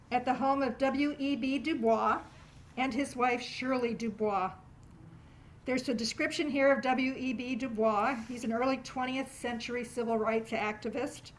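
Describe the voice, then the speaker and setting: Higher-voiced woman hosting an event. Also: low-quality recording. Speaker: event host introducing a performance. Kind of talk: live spoken introduction to an audience. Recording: live room with faint background noise.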